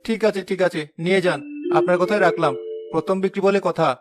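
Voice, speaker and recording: A man's voice: high-pitched, steady tone, fast talker, over music, natural voice. A man voicing a defiant, boastful young weaver son who argues his case in a village cartoon. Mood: conceding. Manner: boastful, argumentative. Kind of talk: moral-story cartoon dialogue.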